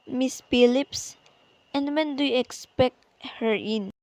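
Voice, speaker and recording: A woman's voice: sits high, takes it slowly, a little mellow, no echo. A woman playing a nervous, demanding, frightened invalid alone at home in a radio play, anxious and insistent. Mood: agitated, upset.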